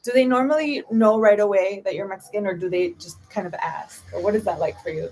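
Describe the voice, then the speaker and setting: A woman's voice: mid-range voice, fast talker, a little mellow, slight background noise, low-quality recording. A young woman from the United States hosting a live stream, chatting in a casual, upbeat way and dropping in Spanish phrases.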